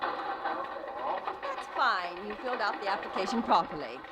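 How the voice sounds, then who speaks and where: An actress playing a polite, businesslike employment agency clerk in a vintage radio sitcom. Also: female voice, mid-range voice, very animated, a little slow, rough recording. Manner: patient, polite, amused. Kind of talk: 1940s radio sitcom acting. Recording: old radio broadcast with studio audience laughter and hiss.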